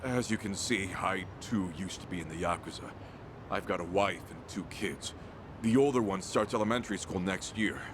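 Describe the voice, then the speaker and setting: A man's voice: low voice, normal speed, noisy background, close to an everyday voice. A man voicing a desperate, emotional down-and-out ex-yakuza family man in a video game drama. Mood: humble pleading. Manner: pleading, emotional.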